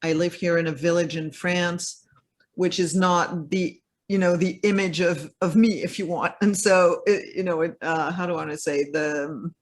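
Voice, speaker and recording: A man being interviewed over a video call, his voice sitting slightly high. He talks casually and hesitantly, circling back with fillers before finishing a thought. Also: steady tone, normal speed. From Belgium or United States. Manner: hesitant, many fillers and restarts. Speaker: interview guest. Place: home in a French village.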